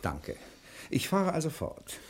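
An actor playing a brash, quick-witted bank cashier with a scheme, sardonic and theatrical, in 1960s German radio drama style. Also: male voice, fairly high pitch, wildly swooping pitch, a little hiss or hum, heavily acted. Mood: smug, explaining. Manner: sardonic, theatrical, domineering, with emphatic dramatic pauses.

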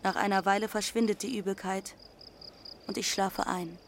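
A woman's voice: medium pitch, steady tone, normal speed, slight background noise, lightly acted. A woman playing a defiant teenage runaway girl who narrates her journey matter-of-factly in an audio drama. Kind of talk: first-person literary narration in audio drama.

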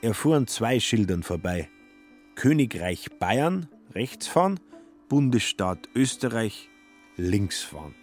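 A man narrating a literary radio play in a measured, wry storytelling manner. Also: fairly low pitch, sing-song, a little mellow, soft background music.

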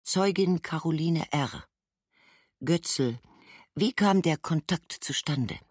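A woman's voice: sits low, lively intonation, takes it slowly, a little mellow, husky, dry recording, studio quality, heavily acted. An actress playing a matter-of-fact mother giving court testimony in a documentary radio play, in a sober, clipped recital. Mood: matter-of-fact recollection. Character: woman trial witness, a mother recalling holiday friends, matter-of-fact.

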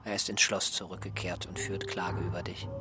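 An actor in a radio play playing a tired, sickly former assistant, sardonic and plaintive. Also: male voice, low-pitched, flat delivery, normal speed, noisy background, close to an everyday voice. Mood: plaintive, mocking. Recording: loud music underneath. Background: music.

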